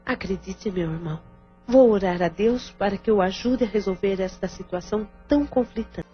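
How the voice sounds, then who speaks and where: A mid-pitched female voice of an actress plays a caring, devout Brazilian sister who offers comfort. It is audio drama, slightly dull in sound over faint music. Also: moderate pace, natural voice. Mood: earnest, concerned.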